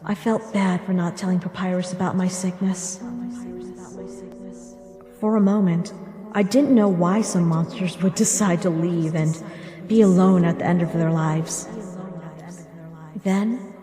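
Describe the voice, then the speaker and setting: A woman voicing a gentle, wistful monster mother back from death, speaking tenderly and reflectively in a dramatic comic dub. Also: on the low side, fairly even intonation, unhurried, pauses often, close to an everyday voice. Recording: reverberant hall echo, music behind.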